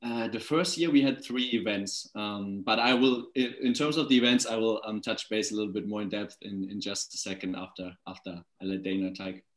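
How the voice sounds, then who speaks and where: A man in his twenties from Germany with a German accent, speaking as a guest on a video call, relaxed with many fillers and restarts. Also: fairly low pitch, a little fast, hardly any pauses, roomy sound. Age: 20s. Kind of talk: casual video call talk. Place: small indoor room at home. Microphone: laptop webcam on video call.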